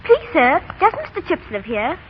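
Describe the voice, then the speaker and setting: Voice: high-pitched, wildly swooping pitch, moderate pace, a little hiss or hum. A performer playing a shy, polite young British schoolboy in an old radio drama, hesitant and deferential. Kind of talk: 1930s radio drama acting. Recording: muffled 1939 radio broadcast.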